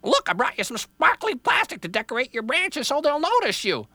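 A man voicing an excitable female jaybird, squawky and urgent. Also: very high-pitched, fairly quick, dry recording, voice pushed up, full character voice.

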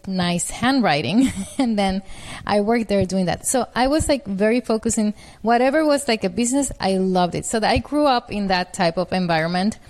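A woman's voice: medium pitch, moderate pace, a little mellow. A middle-aged woman from Puerto Rico, a physician guest answering interview questions, laughing easily and repeating words as she thinks.

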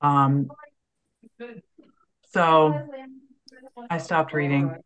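Female group participant, measured and halting, unhurried pace, slightly low pitch. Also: level tone, fairly bright tone, poor audio. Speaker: book club participant. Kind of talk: casual group discussion.

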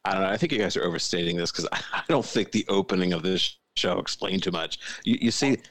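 A man with a deep voice co-hosting a podcast in playful, wry casual chat. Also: fast, fairly bright tone, no echo. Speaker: podcast co-host.

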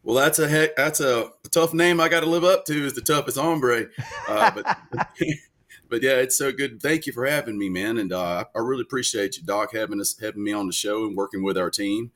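A man's voice: mid-range voice, normal speed, fairly bright tone. A man in his forties from North Louisiana, a veteran guest telling detailed stories in a casual interview, earnest and full of fillers. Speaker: guest, military veteran and country singer.

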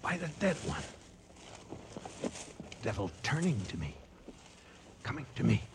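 An actor playing an exhausted, frightened lone hunter in a radio drama monologue, muttering in broken, repeated fragments. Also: male voice, mid-range voice, sing-song, measured pace, creaky. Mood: fearful, stalked. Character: exhausted, terrified lone hunter stalking a lion at night. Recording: noisy old radio drama recording.

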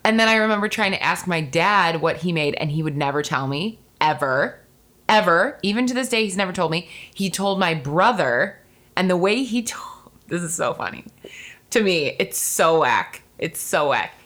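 A young adult woman hosting a podcast from home, with a low voice, chatting in a bubbly, talkative way and laughing easily. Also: expressive, fairly bright tone. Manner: bubbly, chatty, quick to laugh, says "like" a lot. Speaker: podcast host. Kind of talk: casual chat.

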